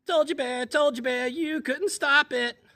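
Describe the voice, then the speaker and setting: A man voicing a small, cheeky female chipmunk with a squeaky, taunting voice in a told story. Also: measured pace, pushed and bright, exaggerated voice. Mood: teasing. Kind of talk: oral storytelling.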